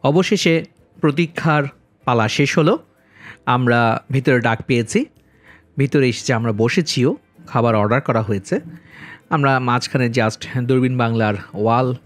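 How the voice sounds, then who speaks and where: A man narrating a vlog as its host, politely and in measured phrases, speaking directly to viewers. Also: medium pitch. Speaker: travel vlogger and host. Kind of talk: vlog voice-over narration with review commentary. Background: background music.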